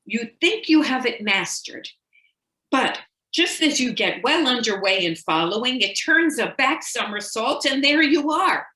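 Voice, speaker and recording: A woman reading a first-person story as a confiding, fixated, increasingly paranoid Victorian wife, dramatic and deliberate, with loaded pauses. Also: fairly high pitch, expressive, bright, forceful tone, roomy sound, voice pushed up. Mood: agitated, exasperated.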